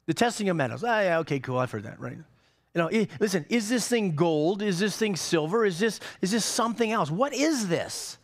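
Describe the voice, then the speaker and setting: A middle-aged man preaching a sermon on a church stage, animated, with many repeated words and restarts. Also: sits high, a little fast. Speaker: pastor.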